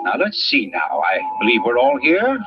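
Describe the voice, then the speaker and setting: An actor playing a suave, smug crime writer who spins a murder mystery with deliberate theatrical urbanity in 1940s radio style. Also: male voice, mid-range voice, wildly swooping pitch. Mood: smugly teasing. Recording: dull vintage radio recording with music.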